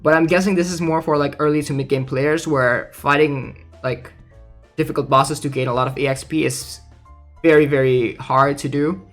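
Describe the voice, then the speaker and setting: A man streaming casually about a game, reading aloud and thinking out loud in a hesitant way with plenty of filler words. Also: fairly high pitch, steady tone, moderate pace, faint music behind. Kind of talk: casual livestream commentary, reading aloud and reacting.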